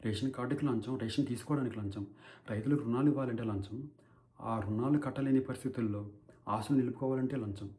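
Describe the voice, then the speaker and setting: A man's voice: mid-range voice, quick pace, dark tone, natural voice. A man acting an anguished, righteous crusader in an impassioned, declamatory courtroom monologue against corruption. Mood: indignant. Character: righteous, anguished male crusader against bribery addressing a judge. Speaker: actor performing a film courtroom monologue. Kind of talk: dramatic theatrical courtroom monologue.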